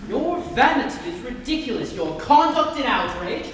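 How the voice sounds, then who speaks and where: Young man playing an indignant gentleman, echoey hall. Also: very high-pitched, sing-song, normal speed, heavily acted. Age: young adult. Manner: indignant, huffy. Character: exasperated, indignant young English gentleman in mourning. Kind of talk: amateur dramatic reading of a stage comedy. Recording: echoey hall, distant mic, faint noise.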